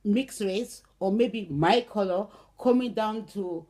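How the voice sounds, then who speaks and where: A middle-aged woman from Brazil, speaking casually to camera with many "um"s and "okay"s, in an unhurried way. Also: medium pitch, a little mellow. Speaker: vlogger. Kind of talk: casual spoken commentary to camera.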